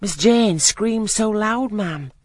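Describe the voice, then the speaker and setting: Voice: extremely high pitch, huge pitch swings, unhurried, dry recording, studio quality, voice pushed up, exaggerated voice. A reader voicing an anxious nursemaid, a young woman who asks urgent questions and pleads meekly. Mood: pleading. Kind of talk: audiobook character reading.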